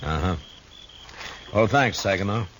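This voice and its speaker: An actor playing a wry, authoritative frontier marshal in a 1950s radio western, speaking in a dry, unhurried, firm way. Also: male voice, lowish voice, animated, fairly bright tone. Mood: stern, probing. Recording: noisy 1950s radio recording.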